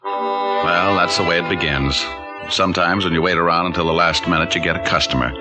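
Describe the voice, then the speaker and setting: An actor playing a wisecracking private detective with dry, breezy delivery in a 1940s radio drama. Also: male voice, deep voice, fairly even intonation, brisk, loud music behind the voice. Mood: wry. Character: wisecracking, light-hearted male private detective. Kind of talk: 1940s radio acting, hard-boiled narration. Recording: vintage 1940s radio recording.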